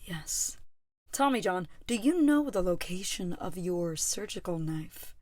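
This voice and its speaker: A woman's voice: on the low side, animated, measured pace, darkish tone. A woman acting a poised, cunning, seductive adventuress in an audio play, speaking in an insinuating way. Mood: knowing.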